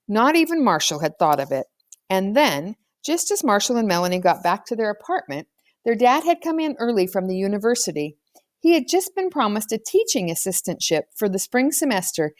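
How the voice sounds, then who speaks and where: A woman narrating a children's novel aloud as an expressive storyteller. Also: mid-range voice, dry recording, studio quality. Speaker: audiobook reader.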